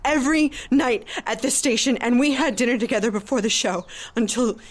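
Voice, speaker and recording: An actress playing a sharp-tongued, middle-aged professional woman with wounded pride in an audio drama. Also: fairly high pitch, a little fast, close to an everyday voice. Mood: indignant. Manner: sardonic, articulate. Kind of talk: audio drama acting and first-person narration.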